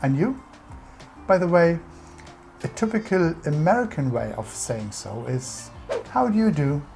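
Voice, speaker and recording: A woman's voice: sits low, lively intonation, a little slow, gravelly, dark tone, faint music behind. A woman with a German accent, narrating a scripted explainer about English culture in an instructive, emphatic way.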